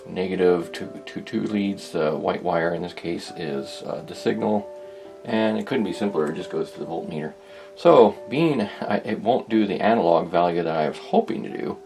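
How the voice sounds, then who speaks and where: A man's voice: low voice, gravelly, darkish tone. A man narrating a hobby workshop project informally, thinking aloud in a hesitant, rambling, musing way. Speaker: host of a hobby electronics restoration video.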